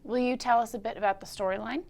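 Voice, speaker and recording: A woman's voice: on the high side, steady tone, fast talker, gravelly, a little mellow. A woman hosting a casual local TV interview, asking short questions and murmuring along attentively. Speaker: interviewer, host.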